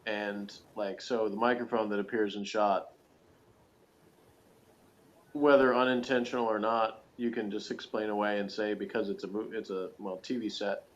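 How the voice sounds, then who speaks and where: A man's voice: on the low side, flat delivery, moderate pace, lo-fi sound. Joking man in casual commentary. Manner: slangy, joking, teasing. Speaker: co-host of a review show. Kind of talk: casual chat, TV episode commentary.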